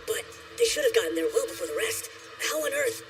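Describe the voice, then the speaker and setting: An actor playing an excitable, incredulous soldier in an animated war drama, speaking in an agitated way. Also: male voice, high voice, fast, recorded from a distance, soft background music. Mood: shocked disbelief. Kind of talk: English-dubbed animated war drama acting.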